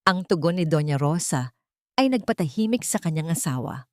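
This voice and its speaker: A woman narrating a dramatic scripted story with deliberate, theatrical phrasing. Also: low-pitched, animated, a little mellow, no echo, clean studio sound.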